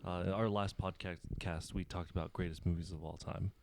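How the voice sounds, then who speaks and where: A young man co-hosting a podcast, pushing back quickly and repeating himself as he quizzes the others. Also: low-pitched, fairly even intonation, a little mellow, a little hiss or hum. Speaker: podcast co-host. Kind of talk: casual chat.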